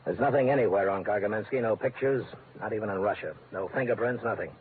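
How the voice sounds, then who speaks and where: An actor playing a heavy-set, tough American FBI agent in a vintage radio crime drama, speaking in a blunt, businesslike way. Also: male voice, on the low side, close to an everyday voice. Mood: brisk explaining. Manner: brisk, blunt, matter-of-fact. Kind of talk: 1940s-50s radio crime drama acting. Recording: narrow-band vintage radio, faint noise.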